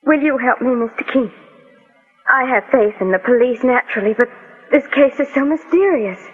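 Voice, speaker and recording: An actress playing an anxious, bereaved young American daughter in a 1940s radio drama, polite and earnestly pleading. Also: female voice, high voice, expressive, normal speed, breathy edge. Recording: tinny, reverberant old radio recording with music.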